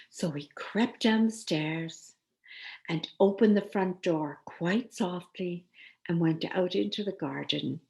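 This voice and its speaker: A woman storyteller reading a children's tale expressively, with deliberate dramatic pauses. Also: mid-range voice, a little mellow.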